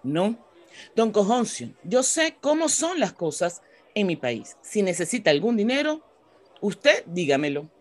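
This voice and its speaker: A woman's voice: mid-range voice, very animated, unhurried. A woman playing a polite, kindly and obliging schoolteacher in a radio drama.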